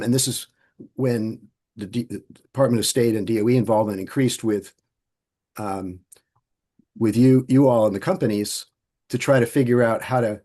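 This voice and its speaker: Halting, thoughtful male interviewer. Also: lowish voice, darkish tone. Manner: thoughtful, halting, many restarts. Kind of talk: oral history interview.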